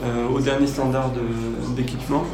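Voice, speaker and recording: A man's voice: medium pitch, little pitch movement, a little fast, lightly acted. A man playing a small-town mayor at an improvised public meeting, hesitant and full of fillers as he boasts of grand plans. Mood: boastful. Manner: hesitant, many fillers, promotional. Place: workshop room. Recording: echoey room with background noise.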